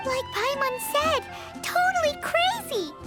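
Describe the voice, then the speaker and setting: An actress voicing a tiny, childlike fairy companion in a video game, squeaky, cheeky and blunt. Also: female voice, animated, a little slow. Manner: squeaky, speaks of self in third person. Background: loud game music.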